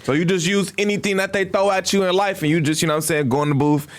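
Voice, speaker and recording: A man hosting a casual interview, relaxed and encouraging, with slangy questions. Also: high voice, fast talker. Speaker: podcast host.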